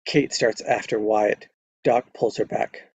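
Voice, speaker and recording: A man's voice: fairly low pitch, fairly even intonation, takes it slowly, darkish tone, slightly rough, dull audio. A man narrating a screenplay at a live table read, stumbling over words now and then and correcting himself.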